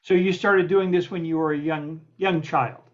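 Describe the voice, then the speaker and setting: Guy host, kinda high voice, keeps repeating himself w uhs, dull lo-fi sound. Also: steady tone. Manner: halting, repeats words and fills with uh. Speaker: podcast host and interviewer. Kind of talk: friendly interview questions. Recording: muffled lo-fi audio.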